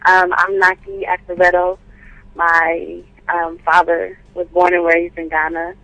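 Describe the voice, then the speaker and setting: A woman poet calling in to an interview, answering thoughtfully with frequent pauses and fillers. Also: fairly low pitch, fairly bright tone, no echo, muffled recording. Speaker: guest poet and writer. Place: calling in from home by phone.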